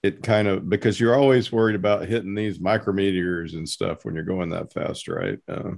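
A man hosting a casual podcast interview, halting as he searches for his words. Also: sits low, fairly even intonation, creaky, darkish tone.

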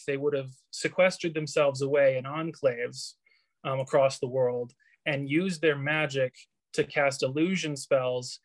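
A man's voice: mid-range voice, no room sound. A man being interviewed as an author, explaining things carefully and hesitantly.

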